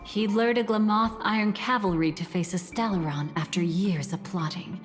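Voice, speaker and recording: An actress playing a calm, mysterious woman who guides the listener through past memories in a composed, reflective way. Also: medium pitch, normal speed, close to an everyday voice. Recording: reverberant game audio with soft music.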